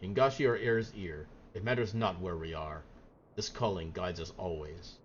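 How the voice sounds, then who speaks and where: A man's voice: low voice, moderate pace, lo-fi sound, slight background noise, natural voice. A man voicing a solemn samurai master from a video game, speaking in grave, archaic and formal phrases. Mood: solemn, measured. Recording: game music behind the voice.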